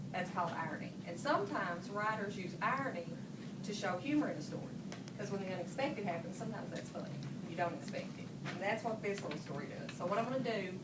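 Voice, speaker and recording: A woman reading aloud and teaching a class, often checking in with questions, recorded on a phone across a classroom. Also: mid-range voice. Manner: checks in with listeners using frequent questions. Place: large classroom, indoors. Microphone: phone camera from across the room. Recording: distant, echoey classroom phone recording with heavy noise.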